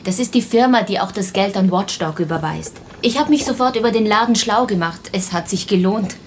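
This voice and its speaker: A woman playing a chatty, sharp-witted detective's assistant with an expressive, colloquial delivery in a radio crime drama. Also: medium pitch, fairly quick, a little hiss or hum, close to an everyday voice. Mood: eager, teasing.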